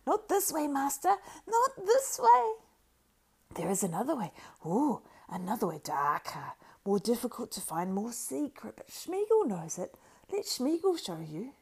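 A woman voicing a wretched, cringing male creature who wails and pleads in a shrill, squeaky whisper. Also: sing-song, measured pace, breathy edge, full character voice. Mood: wheedling. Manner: wailing, pleading, singsong.

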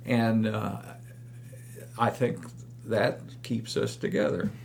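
An older man, reminiscing about running in a slow, rambling way with many fillers. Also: fairly low pitch, flat delivery, creaky, slight background noise. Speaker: guest, longtime club runner. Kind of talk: casual reminiscing chat.

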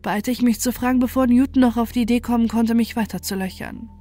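A woman's voice: a little high, fairly even intonation, fast, warm tone, soft background music. A woman reading a scripted first-person horror story as narrator, in a sober and controlled manner.

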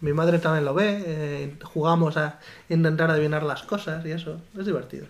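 Podcast co-host, a man, high voice, chatty and quick to laugh. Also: steady tone, vocal fry. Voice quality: higher voice than his co-host. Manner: laughs often, reads listener comments aloud. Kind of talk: casual chat.

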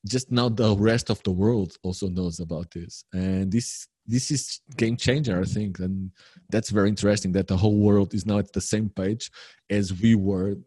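A man's voice: on the low side, steady tone, moderate pace, warm tone, breathy edge, no echo, studio quality. A man speaking as a guest in a casual online chat over a video call, rambling enthusiastically and repeating his phrases.

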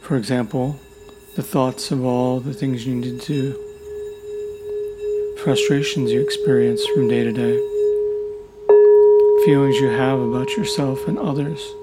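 A man leading a guided meditation in a soothing, deliberate way, leaving long pauses between short phrases. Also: medium pitch, noisy background. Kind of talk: guided meditation, gentle instruction. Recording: loud humming meditation tones throughout. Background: gentle music with sine tone and singing bowls.

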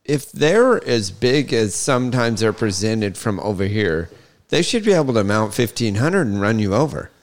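A man's voice: lowish voice, very animated, moderate pace. A man co-hosting a horse podcast, asking long, rambling questions and laughing often. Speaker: podcast co-host. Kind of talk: casual interview chat.

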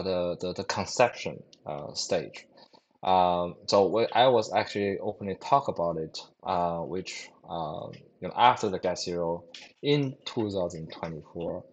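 A man answering interview questions as a company founder, halting, with frequent fillers and restarts. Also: low-pitched, highs cut off. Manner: hesitant, many fillers and restarts, non-native phrasing.

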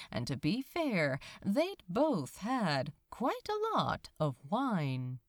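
Slow, very expressive woman storyteller. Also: mid-range voice, no echo, clean studio sound. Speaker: fan fiction audiobook reader. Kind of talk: scripted story narration.

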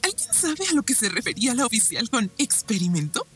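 An actress playing a haunted war survivor and grieving mother, speaking in a halting, emotional way in a Spanish-language radio drama. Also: on the high side, big pitch swings, a bit bright, faint music behind. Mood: uneasy.

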